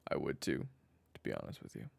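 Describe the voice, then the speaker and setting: A man playing a weary, haunted assassin and new father in an audio roleplay, with a deep gravelly voice and a dry, halting, confessional delivery. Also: close to an everyday voice. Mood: tired, awkwardly tender. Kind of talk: first-person audio roleplay narration. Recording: echoey, long reverb.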